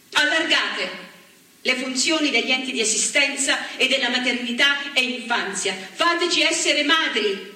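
A woman's voice: a little high, pushed and bright. An actress playing an impassioned anti-fascist woman politician, giving a solemn, emphatic speech on a theatre stage. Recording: echoey theatre stage, far from mic.

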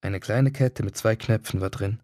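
A man's voice: on the low side, normal speed. A man acting a first-person radio drama monologue, telling it in a plain, conversational way. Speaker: actor narrating as a son.

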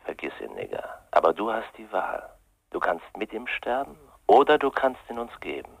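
Cold menacing gangster for a radio thriller, creaky, big pitch swings, very dry close sound. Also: male voice, wildly swooping pitch, moderate pace, no room sound, full character voice. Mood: cold menace. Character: menacing male gangster delivering an ultimatum, cold and contemptuous. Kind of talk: radio crime drama acting.

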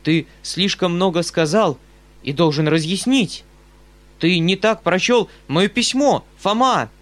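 Man acting an agitated, earnest gentleman, raised pitch. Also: measured pace. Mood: agitated, insistent. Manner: emotional, exclamatory, urgent. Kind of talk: dramatic audiobook character acting.

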